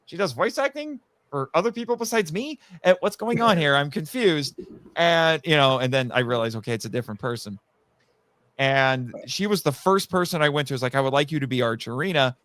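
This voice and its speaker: A man talking casually as a guest about his writing, telling stories in an animated way and acting out quotes. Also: fairly high pitch, fairly quick, no echo. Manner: animated storyteller, acts out quotes. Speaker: guest, audio drama writer. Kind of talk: casual chat.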